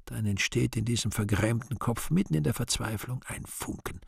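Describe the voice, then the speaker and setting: Husky, gravelly, bitter devil. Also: male voice, medium pitch, moderate pace, dry recording, clean studio sound, exaggerated voice. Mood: defiant, brooding. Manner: brooding theatrical monologue, sudden swells and hushes. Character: bitter limping devil, self-loathing outcast yet proud. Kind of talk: German radio play acting.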